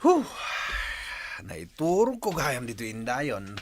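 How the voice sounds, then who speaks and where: A man's voice: mid-range voice, wildly swooping pitch, slow pace, noisy background. A man with an Ilocano accent playing a lazy, whining village husband in a radio comedy drama. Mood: drowsy, groaning. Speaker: voice actor.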